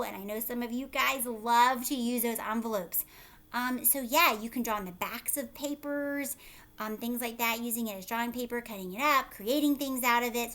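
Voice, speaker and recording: A woman with a fairly high voice reads aloud as a teacher would to young children. She steps out of the text to explain things simply and checks in with her listeners in an instructive way. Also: fairly bright tone. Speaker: teacher reading a picture book aloud to children.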